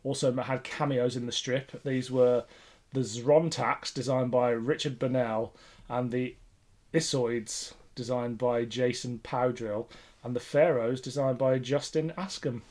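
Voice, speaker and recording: A man's voice: mid-range voice, steady tone, measured pace, a little mellow. A man co-hosting a podcast in casual, jokey, conversational fan chat. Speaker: podcast co-host.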